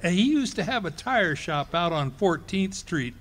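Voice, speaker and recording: Brief: male actor as a flustered boastful husband, folksy stammer, high and animated. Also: normal speed, full character voice. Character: bumbling boastful husband, middle-aged, fibbing. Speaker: amateur radio play actor. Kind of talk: live stage radio play reading. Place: ballroom stage before an audience.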